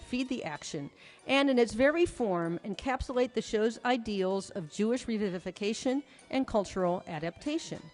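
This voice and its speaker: A woman's voice: fairly high pitch, darkish tone. A woman giving a prepared lecture to an audience, with an articulate, storytelling delivery and emphatic phrasing. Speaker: lecturer, author presenting research. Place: large hall at a conference. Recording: reverberant hall, music playing behind. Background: music.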